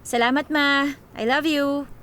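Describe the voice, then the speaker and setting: High-pitched, playful young Filipino woman. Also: fairly even intonation, unhurried, noisy background. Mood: apologetic, affectionate. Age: young adult. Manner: exclamatory, playful banter. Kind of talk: radio drama acting.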